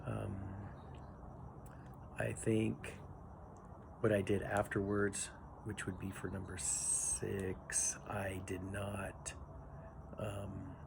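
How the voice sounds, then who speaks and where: A man who teaches young children, giving an informal spoken reflection on his assignment in a halting way, pausing as he thinks aloud. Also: sits low, creaky. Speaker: pre-K teacher and education student. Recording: noisy recording with steady background noise.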